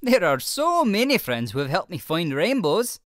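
A jolly leprechaun man with a brogue-style lilt, put on by a woman reading a picture book aloud. His voice sits fairly low and leaps all over in pitch as he welcomes and explains, and the recording is dry and close. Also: big pitch swings, normal speed, no echo. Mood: friendly. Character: cheerful male leprechaun shoemaker, friendly.

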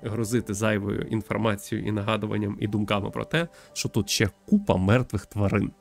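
Creaky-voiced man livestreaming over music. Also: lowish voice. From Ukraine. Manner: thoughtful, halting commentary. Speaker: game streamer. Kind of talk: livestream commentary and reading aloud of a visual novel. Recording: music behind the voice. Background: background game music.

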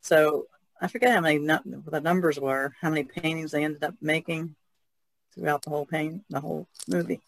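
Gravelly man in relaxed chat. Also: a little high, fairly quick, dry recording. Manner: thoughtful, trailing off, laughs softly. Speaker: podcast guest artist. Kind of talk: casual discussion.

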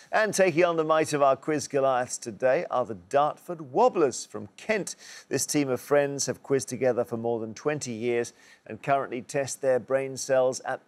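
A man hosting a TV quiz show, announcing and reading questions in a brisk, crisp manner in a studio on a lapel mic. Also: medium pitch. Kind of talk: scripted game show presenting. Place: large TV studio indoors.

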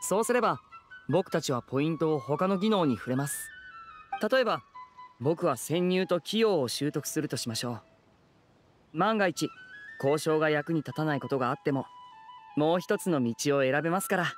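A clever young magician played by a man with a youthful male voice, courteous and measured. It is anime game acting with music playing underneath. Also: fairly high pitch, animated, natural voice. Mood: polite, clever. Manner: polite, measured, explanatory. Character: polite, clever young male stage magician, smooth-talking.

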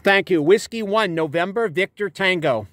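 Older man, amateur radio operator, slightly high voice, dry sound, clipped phonetic call-outs, parked car interior. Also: normal speed, a bit bright, no room sound. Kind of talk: radio contact calling in phonetic alphabet. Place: inside a parked minivan.

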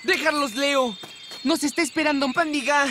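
A woman's voice: high voice, normal speed, background noise, close to an everyday voice. A woman voicing a timid, wistful little male tiger cub with a hesitant, childlike manner in a children's cartoon. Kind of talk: animated children's cartoon dialogue. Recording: music behind the voice.

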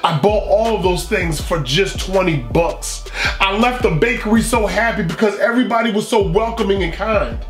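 A man's voice: sits high, normal speed, soft background music. Exuberant middle-aged man in an echoey room. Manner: exuberant, emphatic, playful repetition. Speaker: food reviewer and vlog host. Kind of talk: animated food vlog, reading reviews aloud with playful commentary. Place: small furnished room indoors.

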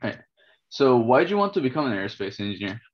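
A young man asks scripted interview questions in a plain, even way. He speaks over a laptop webcam, and the sound is dull and lo-fi. His voice sits at an ordinary middle pitch for a man. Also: fast. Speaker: interviewer, host. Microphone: laptop webcam video call.

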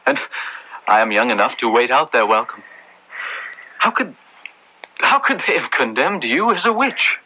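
An actor in an old radio drama playing an earnest, tender young clergyman, solemn and theatrical, with a gently fatherly manner. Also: medium pitch, lively intonation, normal speed, long pauses, slight background noise. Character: earnest, tender young English-ordained clergyman comforting a prisoner. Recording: dull lo-fi old radio recording.

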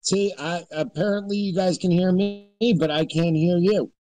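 A man's voice: high-pitched, fairly even intonation, normal speed, dark tone, no echo, soft background music. An American man from New York chatting casually in a live audio chat, blunt and given to swearing. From New York, United States.

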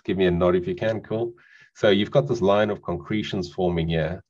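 Deep South African man, husky voice. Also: moderate pace, muffled recording. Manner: relaxed, conversational, frequent fillers. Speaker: presenter. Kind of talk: online presentation talk. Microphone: video call microphone.

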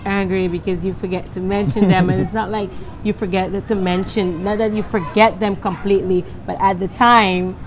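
A woman's voice: mid-range voice, steady tone, normal speed, few pauses, a crowd in the background, low-quality recording. A young woman with a Guyanese accent, answering interview questions with confidence and frequent laughs. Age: young adult. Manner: confident, laughs often, speaks with emphasis. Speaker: singer and interview guest. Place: outdoor terrace by a balustrade. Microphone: handheld mic held by the interviewer.

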